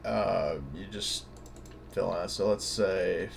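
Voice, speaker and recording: A man giving a casual, unscripted tutorial in a hesitant, halting way, thinking aloud as he goes. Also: low voice, steady tone, creaky, slight background noise. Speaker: tutorial presenter.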